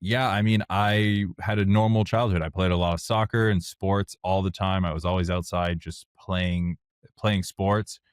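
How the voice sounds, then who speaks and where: A deep-voiced man, a French speaker by birth, talks openly about his own past in a casual interview. His voice sits low and sounds close and dry, with no room around it. He speaks frankly and works his thoughts out as he goes. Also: fairly even intonation, normal speed, smooth, dry recording. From Canada (Montreal). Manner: candid, plainspoken, thinks aloud as he talks. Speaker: guest, software founder.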